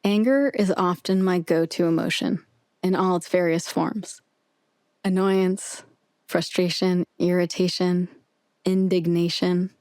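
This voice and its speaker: A woman narrating a guided meditation with soothing, measured, gentle instruction. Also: on the low side, steady tone, clean tone, no room sound.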